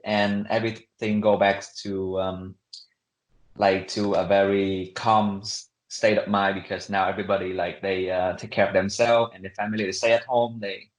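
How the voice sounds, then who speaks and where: A young man from Vietnam with a Vietnamese accent, answering interview questions casually and haltingly, with lots of filler words. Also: lowish voice. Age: young adult. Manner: halting, conversational, many fillers and hedges. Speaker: interview guest, international student. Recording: echoey room sound.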